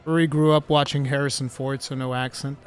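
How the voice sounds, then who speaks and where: A man's voice: medium pitch, a little fast, smooth, faint music behind. A Portuguese man with an American-sounding English accent, chatting casually as a streamer and reading viewer questions. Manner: excitable, laughs often, says dude a lot.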